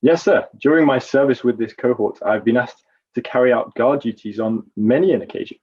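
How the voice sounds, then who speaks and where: A man's voice: mid-range voice, brisk, husky, dull audio, close to an everyday voice. A man playing a nervous, evasive Roman guard corporal testifying in a courtroom drama, hesitant and deferential.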